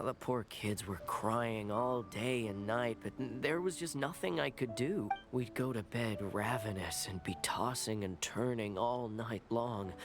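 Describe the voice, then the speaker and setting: Humble village fisherman, over music. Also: mid-range voice. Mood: sorrowful. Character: humble, grateful village fisherman, kindly family man. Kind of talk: scripted anime game voice acting. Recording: clear music behind the voice.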